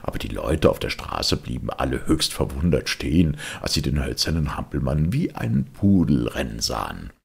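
Male audiobook narrator: very low, creaky and raspy, dramatic children's storytelling. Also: big pitch swings, normal speed, few pauses. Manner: dramatic, addresses listeners directly.

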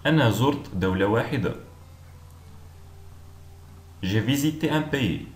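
A man teaching language, reading each short phrase with clear, deliberate pronunciation and repeating it several times. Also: mid-range voice, lively intonation. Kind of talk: slow repeated phrase drills. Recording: echoey room with faint noise.

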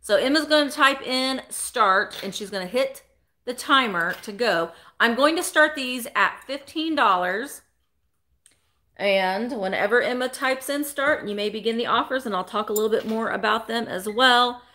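A talkative woman in her forties with a bright, forceful voice pitched a little high, hosting a live sale. She speaks animatedly into a close webcam in a small indoor room. Her delivery is chatty and upbeat. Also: moderate pace. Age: 40s. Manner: animated, talkative, greets viewers by name. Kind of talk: chatty live selling stream. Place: small indoor room full of vintage decor. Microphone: webcam at desk, close framing.

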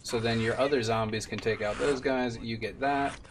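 A man's voice: mid-range voice, fairly even intonation, normal speed, background noise. A man in his twenties giving casual gameplay commentary as a streamer and speedrunner.

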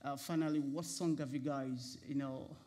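A man's voice: mid-range voice, fairly even intonation, moderate pace, dark tone. Upbeat man hosting a studio interview. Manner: laughs often, upbeat, restarts questions. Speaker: talk show host. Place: TV studio set with red sofas.

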